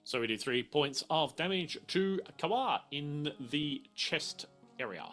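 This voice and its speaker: A man refereeing a casual tabletop game, halting and often repeating himself. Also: on the high side, expressive, fairly bright tone, soft background music. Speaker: game master.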